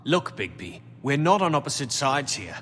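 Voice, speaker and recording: An actor playing a cocky, sarcastic thug under interrogation in a video game, with a mouthy, taunting manner. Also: male voice, medium pitch, very animated, normal speed, fairly bright tone. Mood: defensive. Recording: long reverb, music underneath.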